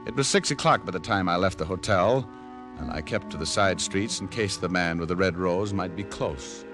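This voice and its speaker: Actor as hardboiled male detective, American, low pitch, dry wit, cool-headed, old radio drama, music behind. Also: moderate pace, natural voice. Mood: steady, wry. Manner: dry, wisecracking, unruffled. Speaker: actor playing the lead and narrator. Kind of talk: 1940s-50s radio detective acting and narration.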